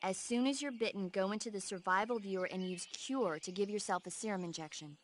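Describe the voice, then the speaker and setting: An actress playing an earnest, knowledgeable support advisor in a video game, explaining things informatively. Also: female voice, medium pitch, brisk, slight background noise, lightly acted. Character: knowledgeable female radio support advisor, earnest, easily exasperated.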